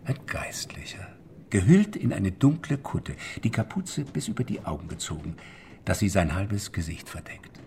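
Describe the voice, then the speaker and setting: A man's voice: on the low side, sing-song, moderate pace, warm tone, in a whisper. An actor playing a shrewd, worldly banker's man who tells of secret dealings in a theatrical, storytelling way in a radio drama. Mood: conspiratorial. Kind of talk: German radio drama acting. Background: music.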